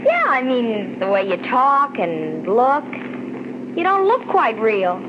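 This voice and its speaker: An actress playing a plucky, chatty young hitchhiker with slangy, forthright talk, in the style of 1940s radio drama. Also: female voice, on the high side, huge pitch swings, slow, heavily acted. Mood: chatty, friendly. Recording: hissy, muffled old-time radio recording.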